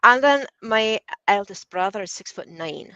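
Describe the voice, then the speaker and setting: Woman telling a fun story, pitch jumping all over, dry close mic. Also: moderate pace, dry recording, muffled recording. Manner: animated, playful. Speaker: podcast co-host. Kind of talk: casual chat, storytelling.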